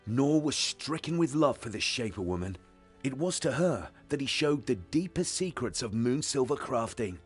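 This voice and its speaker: An actor playing a loyal, reverent sword-fighter in a fantasy game, with a formal, solemn storytelling cadence. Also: male voice, mid-range voice, very animated, moderate pace, breathy edge, faint music behind. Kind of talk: fantasy game cutscene acting.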